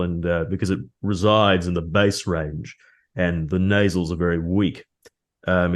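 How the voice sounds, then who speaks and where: A man speaking in an Australian accent like a measured politician, with a bass voice and weak nasals. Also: lightly acted. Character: his own Australian-accented self, sounding like a politician.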